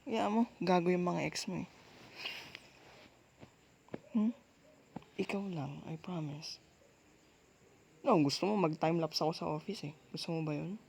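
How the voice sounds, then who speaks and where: Filipino woman, girlfriend roleplay ASMR, soft and coaxing, gravelly vocal fry, reassuring, faint background noise. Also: lowish voice, measured pace, pauses often. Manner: soothing, coaxing, with frequent gentle check-ins. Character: soft dominant, reassuring, apologetic girlfriend comforting her partner.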